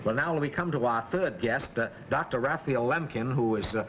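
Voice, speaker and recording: A man hosting a semi-formal broadcast panel interview in the 1940s, phrasing his questions in a halting way. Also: mid-range voice, highs cut off. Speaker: host and moderator of a panel discussion. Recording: old 1940s broadcast, faint background noise.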